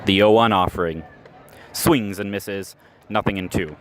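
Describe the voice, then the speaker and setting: A man calling baseball play-by-play in radio style, measured between pitches and lifting sharply on big plays. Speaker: play-by-play announcer. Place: ballpark stands, outdoors. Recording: live ballpark recording with outdoor noise.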